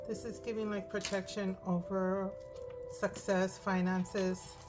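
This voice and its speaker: A hoarse woman reading tarot cards aloud, thinking out loud and often asking "right?". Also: medium pitch, little pitch movement, slow pace. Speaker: tarot reader. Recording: music in the background.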